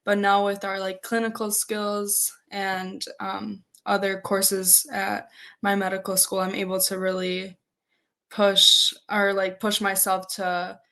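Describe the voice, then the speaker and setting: Young woman, narrow pitch range, hesitant delivery. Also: monotone, slow, sharp tone. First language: Polish. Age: 20s. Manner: hesitant, peppered with "like". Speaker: interview guest, first-year medical student. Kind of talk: conversational interview answers.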